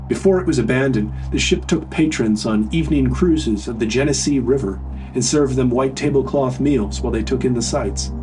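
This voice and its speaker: A man's voice: medium pitch, recorded from a distance. A man reading a scripted first-person horror story as a narrator. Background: music.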